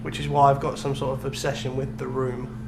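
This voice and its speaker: A man's voice: mid-range voice, completely flat delivery, fairly quick, creaky. A young man co-hosting a podcast, chatting casually in an informal, self-deprecating way. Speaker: podcast co-host. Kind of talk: casual chat. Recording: echoey room with background hum.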